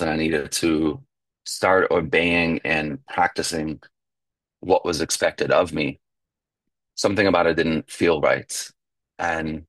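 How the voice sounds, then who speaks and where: A young man raised speaking Yiddish, from New York, giving a reflective personal share at a recovery meeting, pausing and restarting as he talks. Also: extremely low pitch, dry recording. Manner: reflective, halting, with fillers and restarts.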